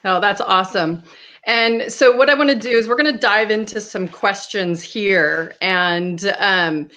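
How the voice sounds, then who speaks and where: An enthusiastic woman hosts a panel over a video call, speaking at a middle pitch. She is upbeat and directive, praising guests and keeping things moving. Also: a little slow, pushed and bright. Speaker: moderator. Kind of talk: hosting a panel.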